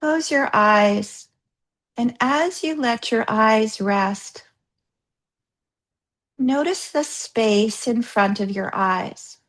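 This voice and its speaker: Slow-paced woman guiding meditation. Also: a little high. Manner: soothing, unhurried, gently instructing with pauses. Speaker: yoga therapist leading guided practice. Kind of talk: guided yoga nidra meditation.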